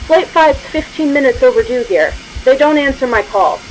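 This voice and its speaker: A woman's voice: high voice, expressive, normal speed, faint music behind, poor audio. A woman playing an urgent airline radio dispatcher in an old-time radio drama. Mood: insistent, worried. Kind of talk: 1930s radio drama acting. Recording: clear hiss and noise.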